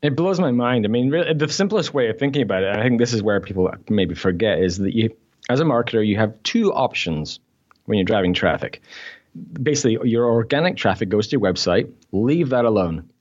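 A man's voice: on the low side, lively intonation, brisk, a little mellow, lo-fi sound. A man in an interview, with a mixed British and Canadian accent, chatting easily, laughing often and using many fillers. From Scotland. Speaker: guest. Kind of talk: podcast interview.

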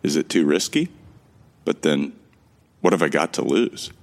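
Very deep gravelly amnesiac played by a man. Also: animated, unhurried, slight background noise, exaggerated voice. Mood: wry, uneasy. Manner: wry, musing. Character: bewildered amnesiac man, wryly recounting his ordeal. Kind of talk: first-person scripted narration in a sci-fi audio drama.

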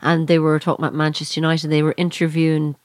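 Low-voiced woman telling a story. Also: level tone, quick pace, a little mellow, dry recording, clean studio sound. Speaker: podcast co-host. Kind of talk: casual anecdote telling among friends.

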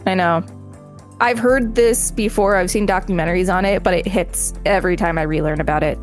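A woman's voice: on the low side, brisk. Woman co-hosting a podcast over music. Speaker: podcast co-host. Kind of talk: reading an encyclopedia article aloud with commentary.

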